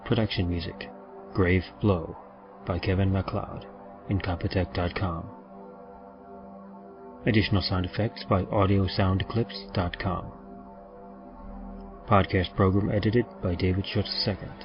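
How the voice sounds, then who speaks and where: A man giving a measured, formal scripted read as a podcast announcer. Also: low voice, fairly even intonation. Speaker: podcast announcer reading credits. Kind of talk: scripted narration. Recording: slightly dull, music underneath.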